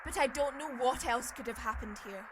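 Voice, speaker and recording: Actress as commanding woman expedition leader, slightly high pitch, authoritative orders, radio drama, noisy background. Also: fairly quick, natural voice. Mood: grim resolve. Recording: clear background noise.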